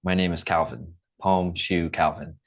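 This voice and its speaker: A man's voice: sits low, fairly even intonation, unhurried. A young American man from the Midwest teaching an English class online, upbeat and checking in often. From Midwest United States. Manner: upbeat, repeats and checks in with "okay?".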